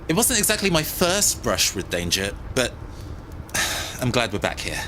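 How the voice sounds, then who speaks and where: Mild-mannered male techie: bright, animated pitch, hesitant chatty delivery, audio drama. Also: a little slow, a little hiss or hum, exaggerated voice. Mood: sheepish, relieved. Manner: hesitant, chatty, slightly awkward. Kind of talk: science fiction audio drama acting.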